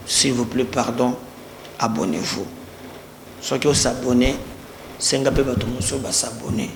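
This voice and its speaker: Gravelly guy hosting a news show, talks loose and chatty, echoey room with a bit of hiss. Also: medium pitch. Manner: pleading, chatty appeals to viewers. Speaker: online news show host. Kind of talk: casual commentary mixing French and Lingala. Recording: echoey room with faint noise.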